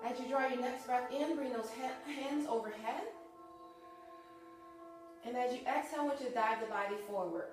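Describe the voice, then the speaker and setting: A woman's voice: on the high side, moderate pace, long pauses, reverberant, over music. A woman yoga instructor guiding a live class through breathing and poses in a conversational, encouraging way, sniffling now and then after nose surgery. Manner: encouraging, conversational with frequent okays.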